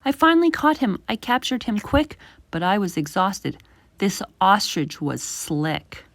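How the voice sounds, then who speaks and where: A woman reading a rhyming children's story aloud in an animated, emphatic way as a storytime host. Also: mid-range voice, a little slow, no room sound. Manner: animated, emphatic rhymed delivery.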